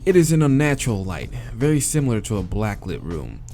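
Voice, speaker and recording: A man's voice: on the low side, very animated, brisk, warm tone, soft background music. A man reading a visual novel aloud as dramatic narration, with casual, exasperated asides.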